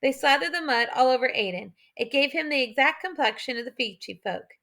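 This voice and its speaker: A woman's voice: sits high, animated, a little fast, sharp tone. A woman narrating an adventure novel as an audiobook, in a scripted storytelling style.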